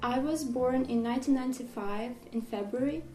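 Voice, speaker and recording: A woman in her twenties from Ukraine with a Russian accent, speaking English as a second language in a casual vlog about herself, unhurried and plain, with slightly non-native phrasing. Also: high voice, darkish tone, roomy sound, faint music behind. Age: 20s. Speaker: artist vlogger. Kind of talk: casual facts-about-me talk.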